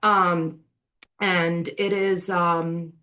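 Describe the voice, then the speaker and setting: Effusive woman with a lowish voice. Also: level tone, drawn-out words, bright, forceful tone. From Barbados and England. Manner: effusive, colorful, colloquial wording. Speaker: resort sales and marketing director, guest presenter. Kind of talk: informal sales presentation.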